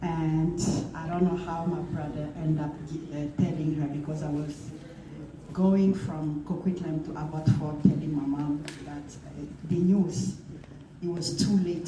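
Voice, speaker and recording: A woman's voice: sits low, steady tone, a little slow. A woman shares a personal memory at a memorial, and her telling grows more emotional as she switches between languages. Her voice comes from far off in an echoey hall, with plenty of background noise. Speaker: speaker at a memorial gathering.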